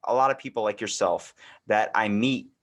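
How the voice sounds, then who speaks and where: A man's voice: lowish voice, moderate pace, fairly bright tone. An American man hosting a podcast, talking in a casual, rambling conversational way. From United States. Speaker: podcast host.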